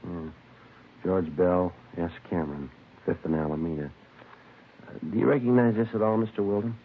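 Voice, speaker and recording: An actor playing a terse, businesslike American police sergeant in a 1950s radio crime drama, clipped and matter-of-fact. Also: male voice, low voice, moderate pace, slight background noise. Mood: businesslike, probing. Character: terse, businesslike male police sergeant narrating his case. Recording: dull, phone-like vintage radio recording, faint music.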